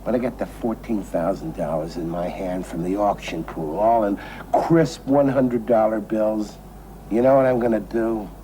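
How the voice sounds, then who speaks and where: An actor playing a boastful, sentimental and tipsy American gambler in a television drama, rambling and repeating himself with emphasis. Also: male voice, lowish voice, normal speed, lightly acted. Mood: dreamy, sentimental. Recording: noisy old television drama soundtrack.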